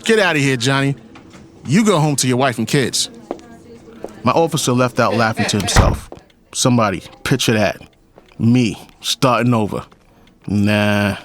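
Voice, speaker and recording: A man's voice: medium pitch, moderate pace, long pauses, fairly bright tone, natural voice. A man playing a lonely, wry, middle-aged police captain who narrates his story in an audio drama. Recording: faint music behind the voice.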